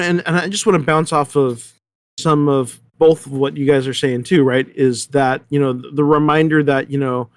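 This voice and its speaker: An American man speaking earnestly in a panel discussion, halting and restarting as he searches for words. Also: a little high, no echo.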